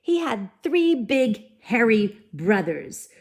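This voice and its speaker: A woman teacher reading a storybook aloud to young children in an expressive, deliberate way, with simple asides. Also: fairly high pitch. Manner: deliberate, animated, simple child-directed phrasing.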